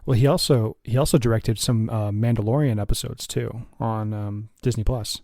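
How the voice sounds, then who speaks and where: A man co-hosting a movie podcast, speaking in a halting, candid way with many restarts. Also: lowish voice, steady tone, dark tone, no echo, studio quality. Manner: hesitant, honest, halting restarts. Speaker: podcast co-host. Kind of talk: casual chat.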